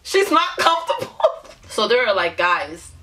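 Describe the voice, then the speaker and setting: Bright, giggly young woman reacting. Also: a little high, normal speed. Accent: Nigerian. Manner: giggly, exclamatory, animated commentary with frequent laughs. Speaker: YouTube reactor. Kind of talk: music video reaction. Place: small bedroom, indoors.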